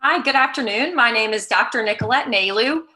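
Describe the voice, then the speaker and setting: A woman's voice: on the high side, animated, brisk, pushed and bright. A woman educator for whom English is not her first language, introducing herself in a friendly, hesitant way. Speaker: educator, panelist.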